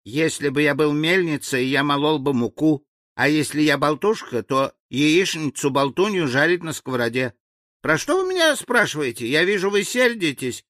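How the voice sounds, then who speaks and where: A man's voice: on the high side, expressive, normal speed, fairly bright tone, dry recording, clean studio sound, pitched above the performer's own voice. A man voicing a meek, bewildered villager who speaks in a timid, plaintive way, in an audiobook fairy tale. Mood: frightened, meek. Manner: timid, plaintive, literal-minded. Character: meek, bewildered male villager from a magic land.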